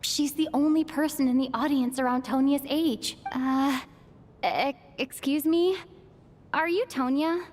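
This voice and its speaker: A woman's voice: high-pitched, unhurried, a little mellow, big-room sound, a little hiss or hum. A woman playing a caring, direct young woman in a video game, plain-spoken and concerned for others. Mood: hesitant.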